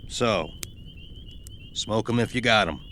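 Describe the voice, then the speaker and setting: Man acting a tense, halting logging boss. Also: fairly low pitch, sharp tone, a little hiss or hum. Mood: forced casual. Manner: hesitant, casual, halting. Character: uneasy logging crew boss trying to stay calm. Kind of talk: audio horror story dialogue.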